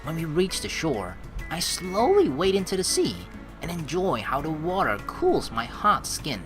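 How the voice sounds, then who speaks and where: Lowish bright woman streamer, reads story game aloud n exclaims, super lively, faint music behind. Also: unhurried, poor audio. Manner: expressive, exclaiming, reacts out loud. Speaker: let's play streamer. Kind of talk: game commentary mixed with reading the narration aloud.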